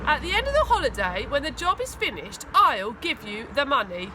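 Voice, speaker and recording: A woman's voice: high-pitched, sing-song, moderate pace, noisy background. A woman reading a storybook and voicing a scheming, bossy mum, coaxing and emphatic. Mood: firm. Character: scheming, bossy mum bargaining with her son.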